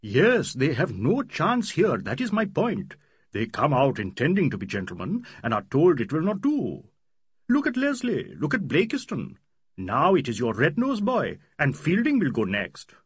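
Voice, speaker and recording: A man's voice: a little high, huge pitch swings, moderate pace, breathy edge, no room sound, clean studio sound, pitched above the performer's own voice, heavily acted. A man voicing an older male host, patient and wry, speaking up over a friend. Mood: earnest.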